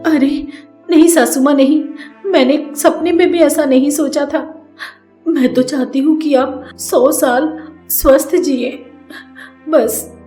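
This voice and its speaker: A woman's voice: sits high, unhurried, warm tone, echoey room, soft background music. A woman playing a tearful young daughter-in-law. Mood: tearful pleading. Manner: pleading, crying.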